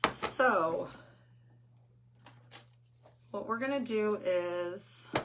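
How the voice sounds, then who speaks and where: An older woman hosting a craft livestream, chatty and rambling, reading viewer comments aloud while painting; her voice is hoarse and squeaky from losing it. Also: extremely slow, background noise.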